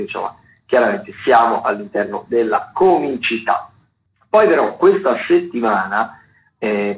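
A man's voice: fairly low pitch, lo-fi sound. A man working as a theatre critic gives casual radio commentary, improvising loosely with many hesitations. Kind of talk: casual spoken listings commentary.

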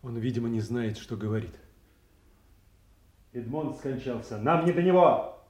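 Man acting solemn duke, mellow and echoing. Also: mid-range voice, animated, natural voice. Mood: grave authority. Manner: measured, formal, authoritative. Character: noble, dutiful duke taking solemn command. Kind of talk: classical theatrical audio drama acting. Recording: roomy, echoing stage-like sound.

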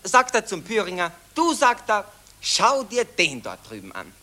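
An actor playing a callous, boastful young Austrian officer with a jaunty, slangy Viennese drawl in a 1940s German radio play. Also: male voice, high voice, huge pitch swings, measured pace, bright, forceful tone, heavily acted. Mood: boastful, amused. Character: callous, boastful young Austrian army officer, cheerfully chatting about war.

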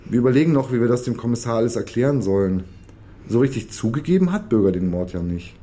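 An actor playing a naive, good-natured amateur crook in a German crime radio play, hesitant and sheepish in a natural way. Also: male voice, mid-range voice, animated, fast talker, warm tone, natural voice. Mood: pleased, relaxed.

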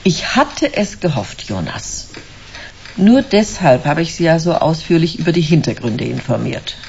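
An actress playing a shrewd, businesslike corporate executive with a faintly amused manner in a radio play. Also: female voice, sits low, sing-song, a little slow, gravelly, darkish tone, highs cut off, slight background noise, heavily acted. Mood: cool, businesslike. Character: cool, shrewd female corporate executive hiring a detective.

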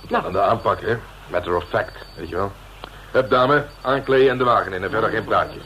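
Cocky, low-voiced police inspector. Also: male voice, fairly quick, pushed and bright, a little hiss or hum, rough recording. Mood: smug, gloating. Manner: colloquial, self-satisfied, bossy. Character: cocky, hard-boiled male police inspector, slangy and smug. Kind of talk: Dutch radio drama acting.

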